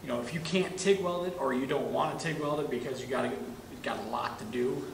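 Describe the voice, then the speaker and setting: Casual shop demonstrator, thirties, gravelly voice, lapel mic, noisy workshop background. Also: male voice, medium pitch, fast. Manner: casual, talks while working with his hands. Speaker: welding equipment demonstrator. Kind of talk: hands-on product demonstration. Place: welding shop corner with curtain backdrop.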